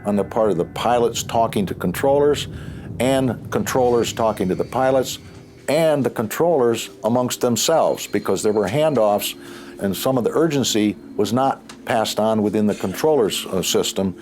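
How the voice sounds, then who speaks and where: A man giving a measured interview account as an investigator. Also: on the low side, a little mellow, soft background music. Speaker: accident investigator.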